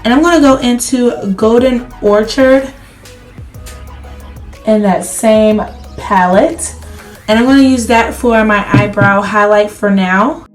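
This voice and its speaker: Higher-pitched woman with a slightly crackly voice. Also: slow pace, long pauses, soft background music. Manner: chatty, addresses viewers directly. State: losing voice, under the weather. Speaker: beauty vlogger. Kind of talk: casual makeup tutorial.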